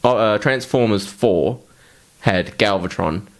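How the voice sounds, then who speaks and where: A man's voice: lowish voice, slow. A man co-hosting a podcast in casual, blokey, sweary chat. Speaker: podcast co-host.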